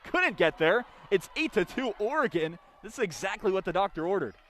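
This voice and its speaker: A young man gives live volleyball play-by-play for radio. His voice sits fairly high for a man as he follows each touch of the ball. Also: big pitch swings, brisk, fairly bright tone, a little hiss or hum.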